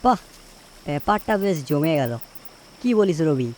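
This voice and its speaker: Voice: medium pitch, animated, moderate pace, a little mellow, close to an everyday voice. An actor voicing a wary village woodcutter in a spooky cartoon, speaking in exclamations and chiding his friend. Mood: relieved, chatty. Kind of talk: cartoon character acting.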